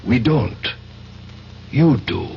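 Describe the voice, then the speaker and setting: An actor playing a cool, commanding spymaster with formal, precise phrasing in a 1970s radio drama. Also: male voice, mid-range voice, expressive, very slow, creaky, exaggerated voice. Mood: cold, commanding. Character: cool, commanding male spymaster giving orders. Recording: muffled, noisy old radio broadcast.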